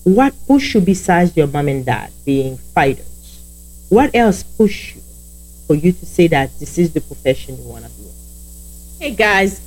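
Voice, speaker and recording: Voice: mid-range voice, very animated, unhurried, a little mellow, low-quality recording. A speaker with a heavy Haitian accent, a native Haitian Creole speaker, co-hosting a law firm podcast and chatting loosely with listeners. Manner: chatty, addresses listeners as guys, loose grammar. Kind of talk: casual podcast talk.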